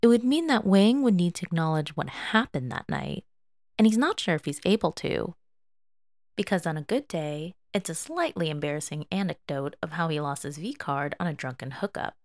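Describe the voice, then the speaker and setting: Female narrator for audio fiction; lively melodic read, very dry recording. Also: low voice, moderate pace, no room sound. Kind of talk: dramatic story narration.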